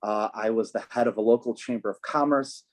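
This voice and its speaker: A man running for office, answering interview questions in an earnest, measured way with frequent ums. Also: on the low side, a little mellow. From United States, Chicago. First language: Polish. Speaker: political candidate, guest.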